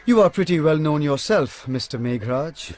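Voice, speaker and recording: A man acting as a shrewd detective in a radio play, with an Indian accent and a moderately high voice. He is courteous and composed, choosing words with dry, measured care. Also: lively intonation, darkish tone, close to an everyday voice. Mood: guarded, dry.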